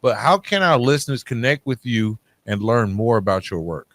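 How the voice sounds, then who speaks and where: A man's voice: mid-range voice, animated, normal speed, a bit bright, no echo. A man hosting a podcast in a casual, slangy and encouraging way, speaking conversationally to guest and listeners. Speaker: podcast host. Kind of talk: casual conversational interview and sign-off.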